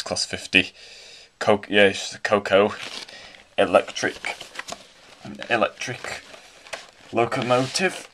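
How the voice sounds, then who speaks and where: Enthusiastic British man, lowish voice. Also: sharp tone, a little hiss or hum. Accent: British English. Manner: enthusiastic, rambling, many restarts and fillers. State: slightly croaky throat. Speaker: model train hobbyist unboxing a locomotive. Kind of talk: casual unboxing commentary. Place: indoors at home, carpeted floor.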